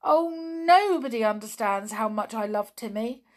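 A woman's voice: medium pitch, big pitch swings, a little slow, fairly bright tone, breathy edge, full character voice. A woman voicing a worried, stubborn young tomboy girl in a storybook, speaking in an emphatic, pleading way. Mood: distressed, wailing.

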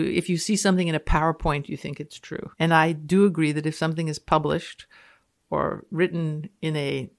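A woman with a Canadian accent, reading texts aloud dramatically and commenting casually in a playful, mock-authoritative way. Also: low voice. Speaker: guest reader and commentator on a podcast.

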